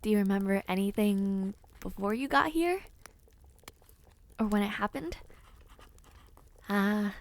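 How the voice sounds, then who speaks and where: A woman voicing a kindly, whimsical witch in an audio roleplay, talking to the listener in a gentle, theatrical, chatty way with airy hums. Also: mid-range voice, slow pace, pauses often. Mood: gentle, reassuring. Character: kindly, whimsical, ancient witch, flustered caring hostess.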